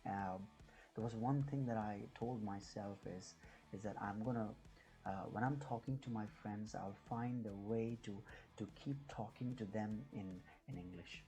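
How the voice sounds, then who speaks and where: Mellow, halting Indian man vlogging. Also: lowish voice, faint music behind, low-quality recording. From New Delhi, India. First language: Hindi. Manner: hesitant, halting, often repeats words. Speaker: YouTube vlogger. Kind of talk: casual unscripted chat to viewers.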